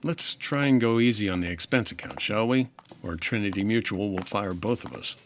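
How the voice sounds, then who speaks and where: A man's voice: lowish voice, normal speed, slight background noise, lightly acted. A man playing a patient, wry 1950s insurance investigator in old-time radio detective style, dry and understated. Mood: businesslike. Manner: dry, understated, world-weary.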